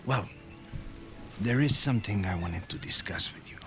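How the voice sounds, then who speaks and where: A man playing an embattled Viking jarl in a game, an earnest and measured ally with a coarse tongue. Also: fairly low pitch, fairly bright tone, lightly acted. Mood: aggrieved. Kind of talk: cinematic game dialogue. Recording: studio voice over game music.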